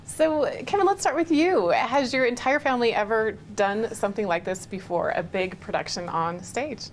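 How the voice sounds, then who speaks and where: A woman's voice: fairly high pitch, moderate pace, a little hiss or hum. A woman hosting an upbeat TV studio interview, speaking in an enthusiastic, encouraging way. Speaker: TV interview host.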